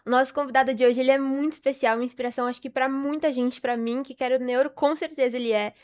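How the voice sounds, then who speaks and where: High-voiced young Brazilian woman. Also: fairly even intonation, fast talker, darkish tone. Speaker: podcast host. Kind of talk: casual interview.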